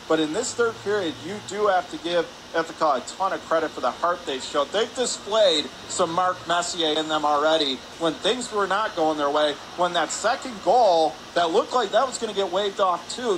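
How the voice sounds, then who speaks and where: High-pitched man calling hockey play-by-play, noisy arena. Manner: clipped rapid phrases, rising on shots. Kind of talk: live radio sports play-by-play. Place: ice rink arena. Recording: clear background noise, arena ambience.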